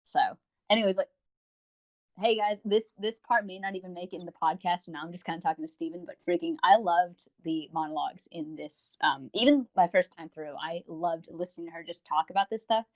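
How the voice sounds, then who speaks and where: A man's voice: high voice, brisk, highs cut off. A man co-hosting a podcast in casual chat, rambling and gushing about what he reviews, with self-mockery and casual swearing. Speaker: podcast co-host. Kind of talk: casual chat, reviewing audio roleplay series.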